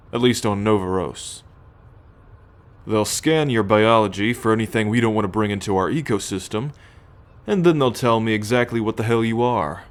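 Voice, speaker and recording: Man playing a low-voiced, haughty alien soldier. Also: lightly acted. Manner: measured, imperious, musing with sighs and hums. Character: possessive male alien soldier, haughty, teasing, coolly menacing captor. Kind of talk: ASMR roleplay acting, addressed to the listener.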